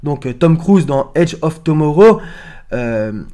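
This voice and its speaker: A man's voice: fairly high pitch, expressive, slow pace, mellow. Rambling man giving informal video commentary. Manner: rambling, explanatory, insistent, often trailing off with "et cetera". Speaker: online video host. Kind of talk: informal screen-share commentary on film posters.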